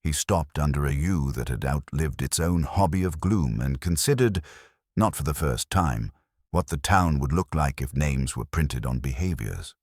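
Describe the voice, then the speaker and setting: Very deep-voiced man narrating a mystery. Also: lively intonation, darkish tone, no echo, clean studio sound. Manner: measured, wry, deliberate. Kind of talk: scripted literary mystery narration.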